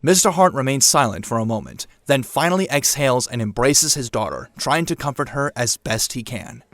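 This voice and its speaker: A man's voice: mid-range voice, expressive, fairly quick, fairly bright tone, dry recording. A man reading scripted dramatic narration for an audio drama, describing action scenes as a storyteller. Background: clear dramatic music.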